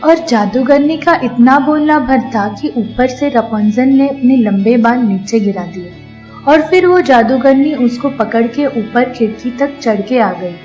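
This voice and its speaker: Female narrator for children's fairy tales: fairly high voice, measured scripted storytelling over light music. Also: animated, a little mellow, low-quality recording.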